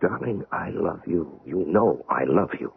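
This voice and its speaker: A man's voice: low-pitched, lively intonation, unhurried, gravelly, slight background noise, heavily acted. A man playing an anxious, loving, protective husband in a 1970s radio drama, with an intense, pleading delivery. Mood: tender, pleading. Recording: muffled old radio broadcast.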